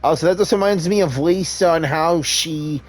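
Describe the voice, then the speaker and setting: A woman's voice: low voice, normal speed, a little mellow. A woman streamer giving casual let's-play commentary, reacting to a game in laid-back short asides. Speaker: gaming streamer. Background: game music.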